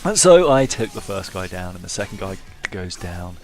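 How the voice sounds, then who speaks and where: Low-voiced man giving wry gaming commentary. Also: sing-song, normal speed, faint music behind. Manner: self-deprecating, wryly humorous. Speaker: gameplay commentator. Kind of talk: casual playthrough commentary. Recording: faint background noise.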